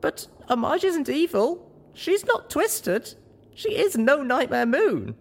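A young female pony from a fantasy audiobook, acted out by a man, speaks in a very high, squeaky voice. She is earnest and easily flustered, with questions that swing widely in pitch. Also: huge pitch swings, unhurried, vocal fry, heavily acted. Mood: insistent, earnest.

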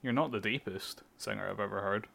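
A man's voice: fairly low pitch, a little fast, vocal fry, pushed and bright. A young man co-hosting a podcast, chatting casually about music, swearing freely and explaining things at length. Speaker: podcast co-host. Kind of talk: casual chat.

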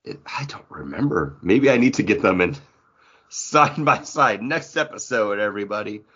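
A man with a fairly deep voice talks casually as a podcast co-host. He rambles in a jokey, informal way. The audio is somewhat dull and lo-fi. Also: lively intonation, normal speed, a bit bright, husky. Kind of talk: casual comic book podcast chat.

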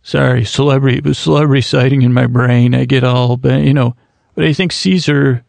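Gravelly man rambling into a close mic. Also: medium pitch, fairly even intonation, a little mellow, no echo. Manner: meandering, self-deprecating, trailing off and restarting; slips into quick imagined dialogues. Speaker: podcast host. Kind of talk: rambling comic monologue.

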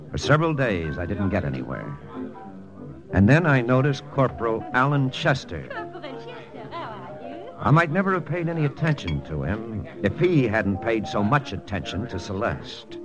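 A man's voice: on the low side, low-quality recording. An actor playing a wry army lieutenant who narrates his own story in an old radio drama. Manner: wry, dry, confiding narration. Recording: old radio broadcast with music.

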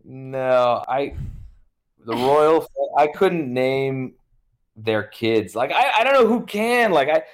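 A man's voice: mid-range voice, lively intonation, slow pace. A young American man, a guest in a casual podcast chat, rambling and swearing, with jokey acted-out quotes. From United States.

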